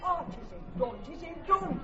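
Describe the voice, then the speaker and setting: An actor playing a hot-headed, aggrieved Irish army captain in a Shakespearean radio drama, vehement and repetitive. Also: male voice, very high voice, expressive, measured pace, sharp tone, full character voice. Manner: vehement, repetitive, oath-swearing. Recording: muffled old radio recording, background noise.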